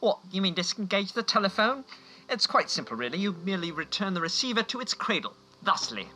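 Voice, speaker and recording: A man plays a self-satisfied swindler for a radio comedy. He is pompous and over-precise, with a piercing voice and animated pitch. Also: brisk, slight background noise, heavily acted. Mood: smug, pompous. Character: smug, pompous male con-artist lawyer. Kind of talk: comic radio play acting.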